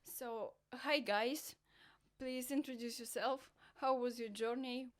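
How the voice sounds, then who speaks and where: A woman with an Eastern European accent hosting a cross-cultural interview show, friendly and slightly halting. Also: a little high, steady tone. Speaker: host.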